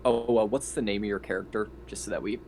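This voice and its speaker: A man chats and explains options as a player in a tabletop session. His voice is a bit low, with faint background noise. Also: steady tone, fast, darkish tone. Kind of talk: casual chat and rules explanation.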